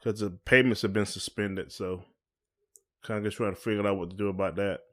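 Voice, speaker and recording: A man's voice: on the low side, steady tone, a little fast, fairly bright tone. A man co-hosting a podcast in casual chat, asking questions in informal, hesitant phrasing. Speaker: podcast co-host.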